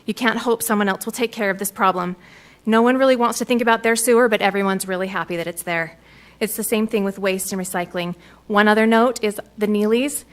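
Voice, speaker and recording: A woman's voice: medium pitch, a little fast. Woman, business owner, council meeting, prepared statement, defensive, earnest.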